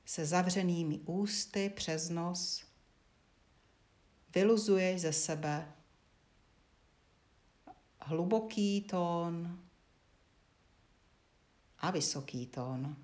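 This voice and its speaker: A woman instructor guiding a body-awareness lesson, giving short spoken directions with frequent pauses. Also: fairly low pitch, darkish tone.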